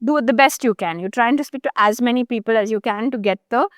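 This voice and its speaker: A woman's voice: fairly high pitch, quick pace, dry recording. Thoughtful woman explaining, dry sound. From Chennai, India. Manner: thoughtful, explanatory, frequent fillers and restarts. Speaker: journalist guest. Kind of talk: conversational interview.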